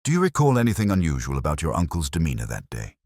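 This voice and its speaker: A man voicing a probing, measured Victorian detective with formal speech in an audiobook story. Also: a bass voice, wildly swooping pitch, a little mellow, dry recording, clean studio sound, exaggerated voice. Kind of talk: audiobook character dialogue.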